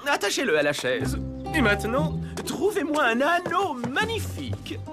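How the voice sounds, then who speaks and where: High, haughty cartoon prince. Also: female voice, wildly swooping pitch, moderate pace, full character voice. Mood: bossy. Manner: haughty, bossy, disgusted. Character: pompous, squeamish, spoiled young prince. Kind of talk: exaggerated French cartoon dubbing. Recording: music behind voice.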